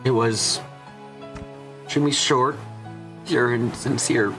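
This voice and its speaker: A man's voice: mid-range voice, fairly even intonation, slow, music in the background. A man playing an earnest, remorseful young man who narrates his own story in a dramatic visual novel style. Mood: tender, sorrowful.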